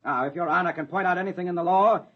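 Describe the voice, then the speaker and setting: Defense lawyer, American, husky, high, oratorical, polite, vintage radio drama, muffled sound. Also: male voice, fast talker. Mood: polite, persuasive. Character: smooth-talking, persuasive male defense lawyer in court. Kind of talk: 1950s radio drama acting. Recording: dull 1950s radio recording.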